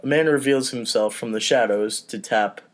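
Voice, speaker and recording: A man's voice: mid-range voice, moderate pace. A man hosting a casual table read, reading out stage directions and talking between them. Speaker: host and stage-direction reader.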